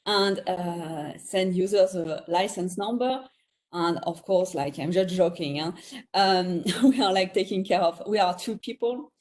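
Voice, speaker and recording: A woman with a French accent, talking chattily as a guest on a virtual panel, often laughing between thoughts. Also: mid-range voice. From France. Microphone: video call.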